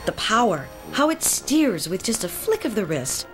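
Female commercial VO: conversational, animated intonation, clear music bed behind. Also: medium pitch, moderate pace. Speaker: commercial voice-over artist. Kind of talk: conversational TV ad narration.

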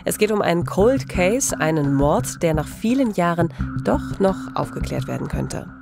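A woman, narrating a true-crime podcast as host in a measured, scripted style. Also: lowish voice, sing-song, gravelly. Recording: voice over music bed.

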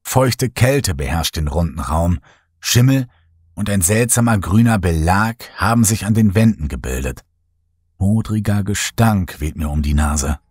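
A man narrating a horror audiobook in the first person, measured, somber and suspenseful, with dramatic delivery. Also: low-pitched, lively intonation, a little mellow, breathy edge, no room sound, studio quality.

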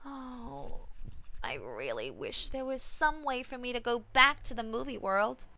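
A high, sharply bright teenage girl, acted by a woman, swings widely in pitch. She is a wistful and sarcastic usher in a dramatised story reading, expressive in every line. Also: measured pace, gravelly, full character voice. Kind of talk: dramatised fan fiction reading.

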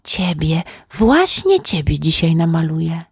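A woman's voice: deep voice, sing-song, a little slow, husky, no room sound, clean studio sound, exaggerated voice. A woman voicing a kindly but picky male painter in a storybook, musing and exclaiming to himself. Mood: kindly, coaxing.

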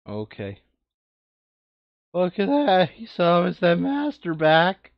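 A man chats casually as he plays a board game, his pitch moving around a lot. The sound is close and dry, slightly dull and lo-fi. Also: huge pitch swings, slow, dry recording. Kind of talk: casual gaming chat. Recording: dull, lo-fi voice chat microphone.

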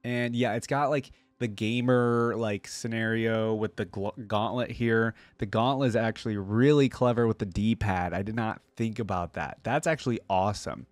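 A man's voice: fairly low pitch, fairly even intonation, moderate pace, few pauses, no echo. A man, around his thirties, giving casual, chatty reaction commentary and thinking aloud informally into a close desk microphone. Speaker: reaction video creator. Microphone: close desk microphone with headset.

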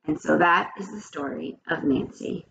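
A woman in her forties, a teacher, reading a children's chapter book aloud in an expressive, animated way at a webcam in a small home office. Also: deep voice, moderate pace, creaky, a little hiss or hum. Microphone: webcam at desk. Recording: dull lo-fi webcam audio.

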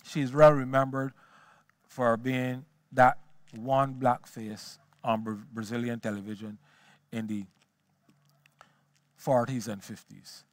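A man's voice: medium pitch, little pitch movement, slow pace, long pauses, no echo. A man gives a history lecture on a small auditorium stage into a headset mic, often repeating words as he searches for the next phrase. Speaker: guest lecturer.

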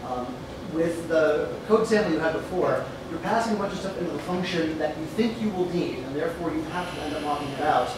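A man's voice: on the high side, brisk, hardly any pauses. A man in the audience thinking aloud as he rephrases his point to the speaker. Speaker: audience member. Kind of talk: asking a question from the audience. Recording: echoey room from far off, noisy.

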